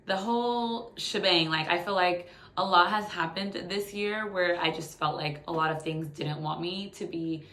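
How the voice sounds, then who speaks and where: Echoey, low-pitched young woman vlogging. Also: moderate pace, few pauses, fairly bright tone. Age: late 20s. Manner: chatty, candid, informal. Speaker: YouTube vlogger. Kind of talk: casual personal update to camera. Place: living room by a window. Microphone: phone. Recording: echoey room sound on phone.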